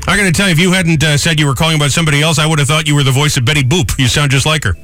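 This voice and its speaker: A man's voice: fairly high pitch, steady tone, very fast, faint music behind. A man hosting a morning radio show, chatting with callers and co-hosts and reading news in a joking, casual way. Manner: jokey, chatty, laughs often. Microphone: studio mic.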